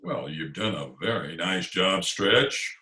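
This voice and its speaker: Radio comedy principal, male actor: pompous, excitable, sharp-edged voice on an echoey remote recording. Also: deep voice, takes it slowly, heavily acted. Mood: bossy, irritated. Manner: pompous, blustering. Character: pompous, easily panicked male school principal. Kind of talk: old-time radio comedy acting.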